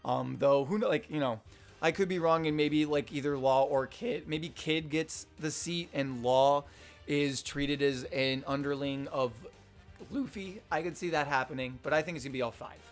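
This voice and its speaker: A young man with a slightly hoarse voice, rambling casually through a manga chapter review with lots of fillers and restarts. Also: a little high, moderate pace, soft background music. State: slightly hoarse, losing his voice. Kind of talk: casual unscripted commentary.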